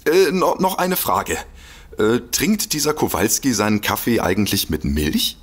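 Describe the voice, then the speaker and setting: An excitable gullible detective in a comedy audio play, earnest, really animated melody. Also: male voice, lowish voice, unhurried, husky, no echo, exaggerated voice. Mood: reluctant, fussy. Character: eager, gullible male amateur detective obsessed with lizard people. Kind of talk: comedic audio drama acting.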